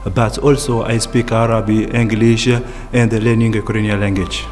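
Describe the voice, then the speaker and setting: A man from the Comoros, a Comorian native and student, speaking haltingly with fillers and restarts. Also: fairly low pitch, level tone, darkish tone, in a large hall, faint music behind. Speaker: university student. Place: gallery hall indoors.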